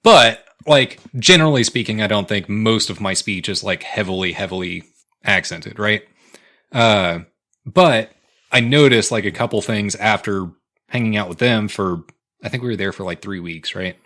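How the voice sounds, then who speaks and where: A man's voice: on the low side, normal speed, sharp tone. An American man in his twenties co-hosting a podcast with casual, profane, slangy comedic chat. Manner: profane, slangy, often breaking into laughter. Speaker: podcast co-host.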